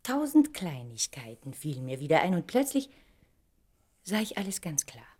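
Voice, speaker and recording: Proud insistent lady in a german radio drama, lively swooping intonation, pointed and dramatic. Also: sits low, moderate pace, breathy edge, full character voice. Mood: wounded, reflective. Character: Valerie, proud woman, probing, articulate, emotionally insistent. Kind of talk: German radio play acting.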